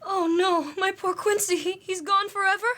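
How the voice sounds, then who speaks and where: A woman voicing a distraught young woman pining for her lost love, with a high, breathy, melodramatic delivery. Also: moderate pace, pushed and bright. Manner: melodramatic, tearful.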